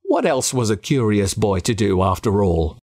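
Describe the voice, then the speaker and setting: An Australian man narrating a first-person spooky story with dramatic, expressive delivery. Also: fairly low pitch, moderate pace, breathy edge. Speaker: audiobook narrator.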